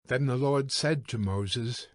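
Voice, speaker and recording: A man's voice: medium pitch, takes it slowly, dry recording, studio quality. A man narrating scripture from a script as part of a dramatized reading. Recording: music bed behind the voice. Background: music.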